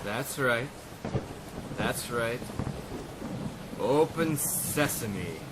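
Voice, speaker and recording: A man plays a sly master criminal in a radio mystery, muttering with gloating self-satisfaction. The delivery is slow and swooping, with clear storm noise and clicks around it. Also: medium pitch, expressive.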